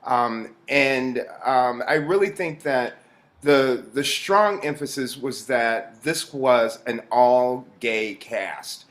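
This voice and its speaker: A man with a mid-pitched voice talks at a slow pace in an interview. He is reflective and halting, pausing often as he thinks through what he says. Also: fairly bright tone. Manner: halting, thoughtful, with frequent pauses. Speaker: actor being interviewed.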